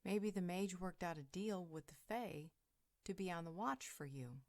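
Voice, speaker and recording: Motherly protector for audio drama, female actor: composed, questioning, lowish register. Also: normal speed, darkish tone, lightly acted. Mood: thoughtful, concerned. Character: calm, motherly woman, thoughtful and protective. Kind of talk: roleplay audio drama acting.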